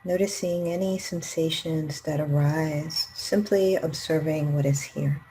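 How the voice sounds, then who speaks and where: A woman leading a guided meditation in a calm, gentle, unhurried manner with long pauses. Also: deep voice, slight background noise, rough recording. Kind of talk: guided meditation, slow spoken instructions.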